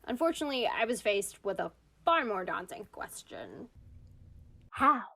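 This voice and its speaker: A woman's voice: fairly high pitch, sing-song, moderate pace, vocal fry, sharp tone, exaggerated voice. An actress playing an anxious, self-deprecating woman in existential crisis, narrating a confessional radio comedy monologue with wry, dramatic delivery. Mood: exasperated, despairing.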